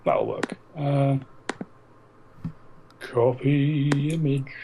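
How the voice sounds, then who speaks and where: A man's voice: mid-range voice, little pitch movement, drawn-out words, background noise. A man co-hosting a casual podcast, talking enthusiastically and bantering with some swearing. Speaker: podcast co-host.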